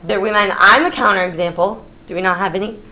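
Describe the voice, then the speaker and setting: A woman's voice: mid-range voice, quick pace. Woman geometry teacher, playful and coaxing, heard through a roomy classroom with faint background hum. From Texas. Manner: lively, playful, coaxing students. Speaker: high school geometry teacher. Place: classroom, recorded from the back. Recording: echoey classroom, faint room noise.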